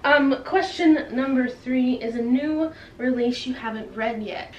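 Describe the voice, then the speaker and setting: A young woman with a fairly high voice chats casually, as if to her followers. She is playfully dramatic and pokes fun at herself. The recording is roomy and a little distant from the mic, with faint noise. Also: unhurried, muffled recording, soft background music. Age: young adult. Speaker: book vlogger and host. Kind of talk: casual chatty video to camera.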